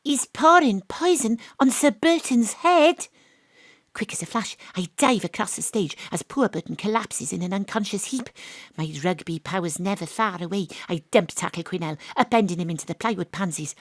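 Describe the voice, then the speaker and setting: Woman narrating a comic radio play, wry and brisk, very dry sound. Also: medium pitch, animated, no room sound. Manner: brisk, wry, self-assured. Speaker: narrator and lead detective.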